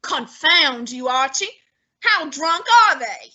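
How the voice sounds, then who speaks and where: A woman's voice: high voice, expressive, slow pace, bright, forceful tone, heavily acted. A woman playing a pompous, heavyset older male detective in an old-time radio drama, speaking with haughty, fussy, lofty diction.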